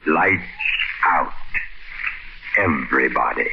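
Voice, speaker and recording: An actor playing an ominous horror show host in an old-time radio intro. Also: male voice, very deep voice, takes it slowly, background noise, heavily acted. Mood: slow menace. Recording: muffled old radio broadcast. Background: loud eerie music.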